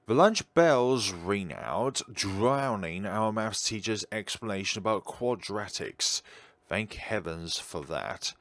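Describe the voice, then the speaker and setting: A man's voice: lowish voice, animated, takes it slowly, a bit bright, no room sound. A man reading a visual novel aloud theatrically as a game streamer, slipping out of the story for asides and self-corrections.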